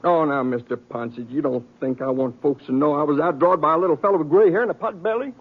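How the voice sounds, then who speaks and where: On a thin old radio recording, a sly Western outlaw. His male voice sits fairly high, with a folksy rustic drawl. He is vain, stubborn and needling. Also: quick pace, phone-quality sound. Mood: grudging. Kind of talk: 1950s radio western acting.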